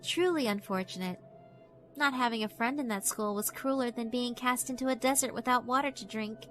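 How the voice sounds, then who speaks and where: A woman's voice: on the high side, brisk, a bit bright, faint music behind, natural voice. A woman voicing a sinister, cruelly amused female school spirit in a horror visual novel, theatrical and mock-polite. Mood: cold, mocking. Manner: theatrical, mock-polite, taunting rhetorical questions.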